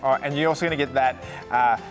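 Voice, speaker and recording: A man's voice: medium pitch, steady tone, quick pace, music in the background. Rambling male co-host. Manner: enthusiastic, rambling, stumbling over words. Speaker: shopping channel co-host. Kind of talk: live shopping broadcast presenting.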